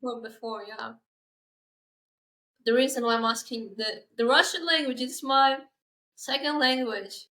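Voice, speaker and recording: A young woman in her final year of university hosting a podcast, asking questions in halting, filler-heavy English with eager agreement. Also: a little high, steady tone, bright, forceful tone, rough recording. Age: young adult. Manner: enthusiastic, halting non-native English with many fillers. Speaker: podcast host. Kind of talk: casual interview chat.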